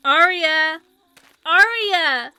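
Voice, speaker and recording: Woman acting a bright-voiced young homesteader. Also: very high-pitched, lively intonation, very slow, no room sound, heavily acted. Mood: calling out anxiously. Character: earnest young woman from a homesteading family, searching mountains. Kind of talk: fantasy audio drama acting.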